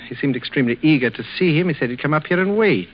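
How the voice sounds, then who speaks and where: An actor in 1940s radio style playing a scheming, falsely tender husband who speaks politely, with unctuous, measured control. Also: male voice, fairly high pitch, lively intonation, lightly acted. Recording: muffled old radio recording, faint noise.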